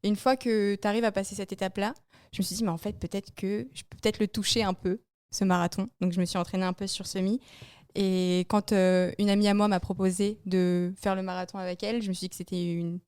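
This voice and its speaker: A young woman in her early twenties with a clean, smooth voice recorded close and dry. She talks rapidly and informally, recounting her own experiences emphatically as an interview guest. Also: mid-range voice, flat delivery, a little mellow, no echo. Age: early 20s. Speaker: podcast guest, amateur runner. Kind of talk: casual chat, personal storytelling.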